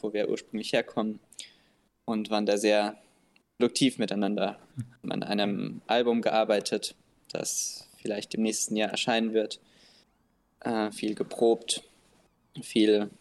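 A young man who is a guest band member, talking calmly with many fillers in a casual interview. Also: lowish voice. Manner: calm, even, with many fillers.

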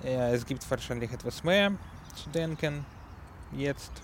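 A man with a Ukrainian accent, a native Ukrainian speaker, presenting music on a community radio show in halting German full of fillers as he searches for words. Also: medium pitch, slight background noise.

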